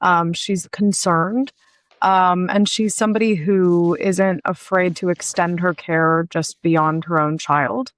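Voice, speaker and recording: Actress lady in an interview, lowish voice, dry sound, bit hesitant. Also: steady tone, slow, dry recording. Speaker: actress being interviewed about her role. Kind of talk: conversational interview answers.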